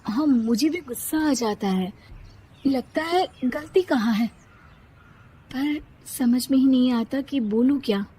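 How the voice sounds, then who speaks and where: Cartoon young wife played by a woman: gentle, apologetic, patient, higher register, slightly husky. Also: measured pace. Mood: gentle, resigned.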